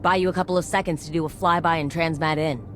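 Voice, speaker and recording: A woman's voice: low-pitched, steady tone, fast talker, close to an everyday voice. A woman playing a serious military ally in a video game, giving a terse, businesslike and urgent briefing. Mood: urgent, determined. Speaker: mission briefer. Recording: clear background noise and vehicle ambience.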